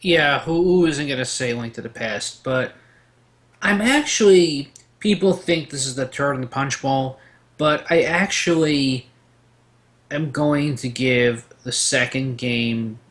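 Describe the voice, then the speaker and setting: Male panelist, average pitch, casual game-talk style, opinionated rambler who likes to tell stories. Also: takes it slowly, fairly bright tone. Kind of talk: casual gaming discussion.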